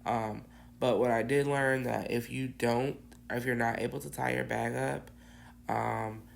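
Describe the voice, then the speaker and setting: A woman with a raspy, hoarse voice from bronchitis, casually rambling through a cookie-icing tutorial with many ums. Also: a bass voice, steady tone, a little slow, a little hiss or hum. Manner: rambling, lots of ums and restarts. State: hoarse, getting over bronchitis. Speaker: cookie decorator.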